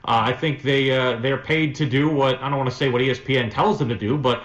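A man who works as a sports announcer, answering casually as a radio guest in measured, wordy, hesitant phrases. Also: mid-range voice, steady tone, a bit bright, lo-fi sound. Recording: roomy, echoing sound.

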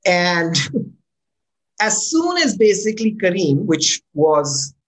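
A startup founder gives a casual interview. The pitch moves a lot, and the voice is animated and expressive. The speaker often restarts words and leans on tag questions like "right?" to keep the listener in step. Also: slow pace, low-quality recording. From Pakistan.

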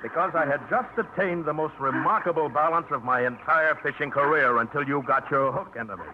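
Actor playing a teasing gruff angler, dry humor, faint hiss, dull old radio sound. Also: male voice, a little high, brisk, natural voice. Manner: dry, sardonic banter. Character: gruff, teasing grown man fisherman. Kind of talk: 1950s radio drama acting.

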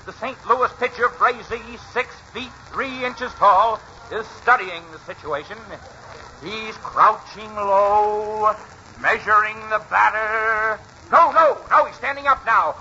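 A man playing an excited ballpark announcer in a 1940s radio drama, drawing out the suspense of each pitch. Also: full character voice. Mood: hushed suspense. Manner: suspenseful, drawn-out calls bursting into shouts. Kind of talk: 1940s radio play-by-play acting. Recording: noisy, muffled 1940s radio recording.

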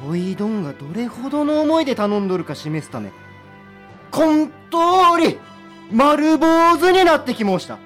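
Satsuma-dialect samurai official, high lively delivery, slow, archaic and formal. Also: male voice, soft background music, exaggerated voice. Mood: impassioned pleading. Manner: formal, archaic, heartfelt pleading. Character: stern but earnest male samurai-era official. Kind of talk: studio audio drama acting.

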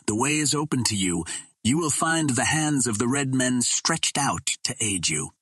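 A man's voice: lively intonation, normal speed, a bit bright, breathy edge, dry recording. A man voicing a proud captive princess from another planet in a storybook, speaking in formal, impassioned oratory. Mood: fervent pleading. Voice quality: low, well-modulated.

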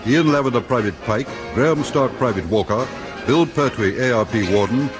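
A man's voice: medium pitch, lively intonation, normal speed. A man reading formal scripted credits as a radio announcer. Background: music.

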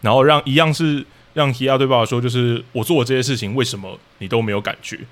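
A man's voice: mid-range voice, fairly even intonation, fast. A man with a Taiwanese Mandarin accent talking casually as an interview guest, explaining his work as a drama director analytically.

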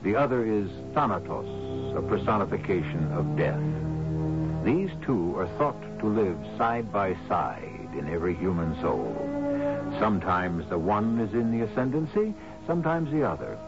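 A man hosting a radio drama with scripted narration, measured and portentous in delivery. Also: on the low side, sing-song. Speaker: host. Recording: muffled radio broadcast over music.

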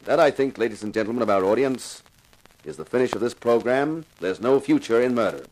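An actor playing a tough, commanding detective and host in a 1950s radio crime drama, with a stern, sardonic, theatrical delivery. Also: male voice, mid-range voice, lively intonation, a little fast, darkish tone, slight background noise, lightly acted, poor audio. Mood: solemn.

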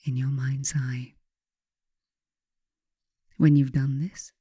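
Woman doing a guided self-help reading, mellow and dark tone, really dry close sound, pauses a lot. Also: low voice, no room sound. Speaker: author reading own self-help book.

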